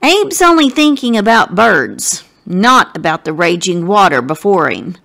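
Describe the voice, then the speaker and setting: Slow, animated woman reading a storybook. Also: mid-range voice, gravelly. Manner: deliberate phrasing, addressing young listeners directly. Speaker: guest story reader. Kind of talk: expressive children's storybook narration.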